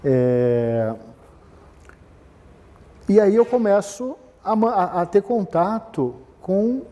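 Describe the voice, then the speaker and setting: A middle-aged man from Brazil, telling his career story in a relaxed talk, often hesitating and restarting. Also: high voice, very slow, in a large hall. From southern Brazil. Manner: rambling, many fillers and restarts. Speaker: business manager giving a talk. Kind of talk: conversational talk, personal history.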